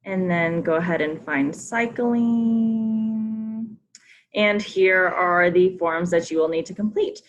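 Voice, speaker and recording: A woman's voice: on the low side, slow pace, dull audio. Friendly woman walking coaches through forms. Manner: friendly, frequent ums, polite reminders. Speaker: sports program staff leading a coach conference call. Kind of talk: instructional briefing with screen walkthrough.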